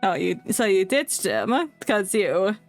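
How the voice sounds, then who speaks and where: A woman's voice: mid-range voice, animated, slow pace, faint music behind. A woman game streamer giving casual, jokey commentary, playful and rambling as she heckles the game.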